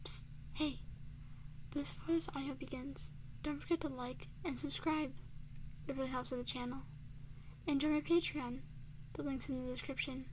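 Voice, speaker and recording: High-voiced woman, ASMR channel intro. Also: fairly even intonation, normal speed, a little hiss or hum, low-quality recording. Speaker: ASMR audio performer introducing the show.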